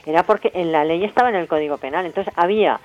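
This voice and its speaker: A woman with a Spanish accent talks very quickly, explaining her points with emphasis and everyday turns of phrase. She keeps adding little tag questions, as a guest in an informal interview. The radio sound is slightly dull and lo-fi. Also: lowish voice. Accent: Spain. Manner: emphatic, colloquial, full of checking tag questions. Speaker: interviewed guest, health professional and feminist activist.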